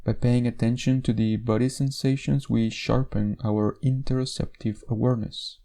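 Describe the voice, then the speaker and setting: A man leading a guided meditation in a measured, instructional way, with gentle pauses between phrases. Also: medium pitch, level tone, gravelly.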